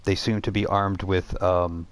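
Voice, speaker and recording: A man's voice: low-pitched, little pitch movement, a little slow, no echo. A man narrating a tabletop game casually as game master, improvising with chatty asides.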